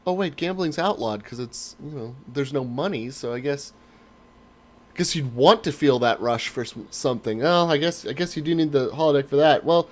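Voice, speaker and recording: A man's voice: on the high side, normal speed. A middle-aged man chatting casually as a podcast host, opinionated and sarcastic, with plenty of swearing. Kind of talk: casual chat.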